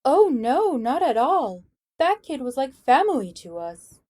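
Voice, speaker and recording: Woman playing a high, gracious charity matron. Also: very animated, unhurried, mellow, exaggerated voice. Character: gracious, sociable woman managing a charity care home. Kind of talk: radio drama acting.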